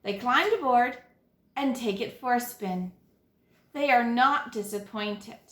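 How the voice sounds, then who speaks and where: A woman teacher reading a children's picture book aloud, with dramatic, deliberate phrasing and stressed key words. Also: fairly high pitch, a bit bright, reverberant. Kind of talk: expressive children's read-aloud narration.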